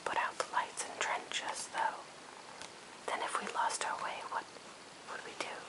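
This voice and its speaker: A person reading a poem in an unvoiced ASMR whisper, phrase by phrase with gentle pauses. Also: rough recording. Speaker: ASMR reader.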